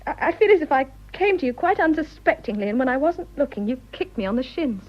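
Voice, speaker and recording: An actress playing a torn, passionate young English wife in a radio drama, earnest and emotional, with a British accent. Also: female voice, sits high, animated, fast. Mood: indignant. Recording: dull, muffled radio drama audio.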